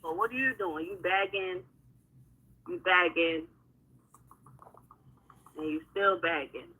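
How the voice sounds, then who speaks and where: A woman with a hoarse, husky voice speaks in loose, slangy chat on a livestream. Her tone is bright and sharp as she vents heatedly, pressing her points over and over. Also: on the low side, slow pace, rough recording. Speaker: singer and guest.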